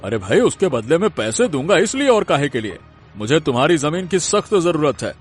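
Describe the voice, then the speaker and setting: Village landowner father for a cartoon, male actor: proud, touchy, very animated delivery over faint music. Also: fairly high pitch, a little fast. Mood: pushy. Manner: pompous, easily offended. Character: proud, domineering middle-aged village rich landowner father.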